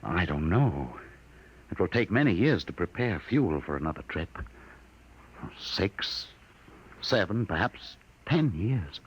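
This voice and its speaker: An actor playing a solemn, compassionate spaceship captain in a 1950s radio drama, speaking with measured earnestness. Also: male voice, deep voice, very animated, husky, heavily acted. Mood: tender, regretful. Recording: muffled vintage 1950s radio recording.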